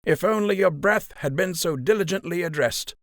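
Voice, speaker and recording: A man voicing an older gray male rabbit in an audiobook, proud and formal, with a measured, cutting wit. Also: high-pitched, a bit bright, no room sound, clean studio sound, pitched above the performer's own voice. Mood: cool mockery.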